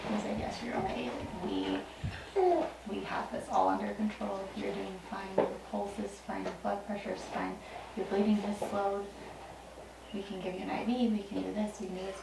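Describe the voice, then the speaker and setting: A woman's voice: medium pitch, vocal fry. A woman midwife telling stories casually in a group conversation. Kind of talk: casual storytelling in a group chat. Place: midwife care space with group gathered. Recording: very noisy echoey room, distant mic.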